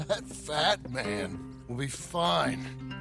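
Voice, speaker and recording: An actor playing a gruff, weary retired gunslinger with a gravelly voice in a western game. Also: male voice, medium pitch, big pitch swings, drawn-out words, over loud music, full character voice. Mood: grumbling.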